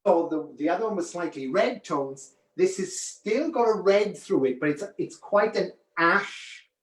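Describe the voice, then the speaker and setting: A man's voice: high voice, lively intonation, unhurried, roomy sound. A man with a Welsh accent co-hosting a casual live wig demo over a video call, chatty and friendly, calling viewers "my love". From Wales.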